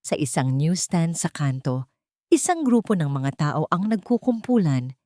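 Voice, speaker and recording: A woman's voice: on the low side, darkish tone, no echo, studio quality. A woman reading a scripted dramatic love story as narrator.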